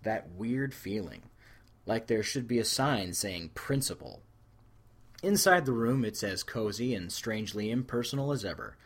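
A male narrator reads a story with a somewhat low voice. His pitch moves widely and expressively from phrase to phrase, as in an audiobook. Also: animated, moderate pace, breathy edge. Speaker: fan fiction reader.

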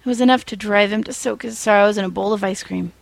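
A woman narrates a story with a creaky edge to her voice. She is expressive and wry, keeping it informal, and the recording is very dry and close. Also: mid-range voice, quick pace, dry recording. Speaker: fan fiction reader.

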